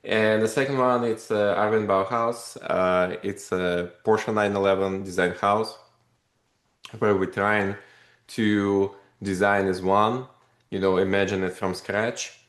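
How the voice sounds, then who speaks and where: Guy telling stories on a podcast, animated, rambly, echoey room. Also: fairly low pitch, unhurried. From Russia. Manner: animated, rambling with many fillers. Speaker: podcast guest, company founder. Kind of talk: casual interview storytelling.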